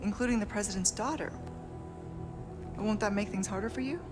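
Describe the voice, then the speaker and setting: A woman's voice: medium pitch, a little fast, over music, natural voice. An actress playing a cool, self-assured female spy in a video game, with a dry, understated, unflappable delivery. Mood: composed. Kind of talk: video game cutscene acting.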